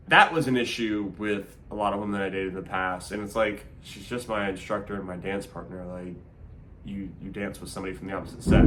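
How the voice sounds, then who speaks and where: A man's voice: lowish voice, noisy background. A man in his thirties chatting casually with his partner, rambling with many fillers and restarts. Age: 30s. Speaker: co-host of couple Q&A video. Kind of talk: casual chat.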